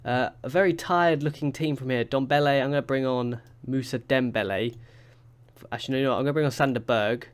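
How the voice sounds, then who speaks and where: Guy, blocked-up nose from a cold, rambly casual game commentary, mid-pitched voice. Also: quick pace, smooth. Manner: chatty, rambling with fillers and restarts. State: stuffy from a cold. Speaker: gaming YouTuber. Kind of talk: casual let's-play commentary.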